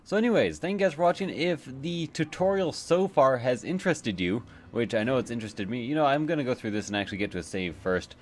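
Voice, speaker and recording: A man's voice: mid-range voice, animated, fast talker, hardly any pauses, slight background noise. A man giving casual let's play commentary, explaining the game and thinking aloud as he goes. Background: game music.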